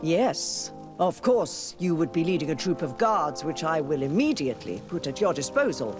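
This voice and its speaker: Imperious Mother Cardinal, lively intonation. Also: low-pitched, normal speed. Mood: gracious, commanding. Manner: authoritative, formal, commanding. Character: stern, imperious high churchwoman, a Mother Cardinal. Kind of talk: video game dialogue acting. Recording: music behind the voice.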